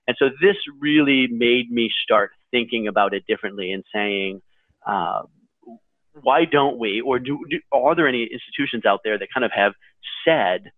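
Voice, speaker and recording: A man co-hosting a podcast in casual chat, with a loud, carrying voice and a rambling way of talking full of restarts. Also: fairly low pitch, dry recording. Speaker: podcast co-host. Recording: tinny phone-like line.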